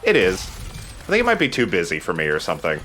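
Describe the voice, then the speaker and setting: Male let's play host: casual, lively intonation, bright tone, slight background noise. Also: deep voice, quick pace. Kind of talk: casual gameplay commentary.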